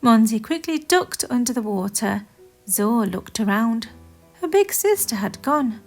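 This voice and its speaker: Animated, unhurried woman storyteller. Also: a little high, soft background music. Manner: deliberate, animated read-aloud for young children. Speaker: children's storyteller. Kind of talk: expressive scripted story narration.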